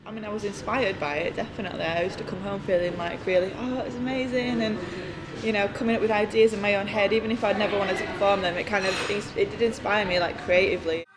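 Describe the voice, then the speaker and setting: A woman's voice: medium pitch, fairly even intonation, fast talker. A woman, a performer, talking casually in an interview. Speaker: burlesque performer. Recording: clearly noisy room ambience.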